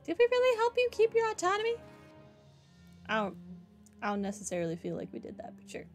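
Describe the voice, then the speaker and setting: Woman streamer for casual game commentary: high voice, very lively intonation, game music behind. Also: fast talker. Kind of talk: casual playthrough commentary, reading dialogue choices aloud.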